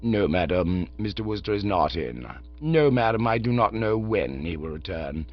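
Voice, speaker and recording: A man reading aloud and voicing a formal, unflappable middle-aged valet, low and measured. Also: sing-song, no echo, muffled recording. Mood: grave, deferential. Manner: deferential, slow, ends on a deep "sir".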